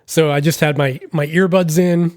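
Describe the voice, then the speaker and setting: A man's voice: on the high side, fairly even intonation, moderate pace, dry recording, clean studio sound. A man in his thirties with a scratchy voice from being sick, hosting a casual solo podcast from a hotel room in a rambling, apologetic way. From Massachusetts, United States. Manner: rambling, self-deprecating, apologetic. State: scratchy voice from illness. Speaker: podcast host.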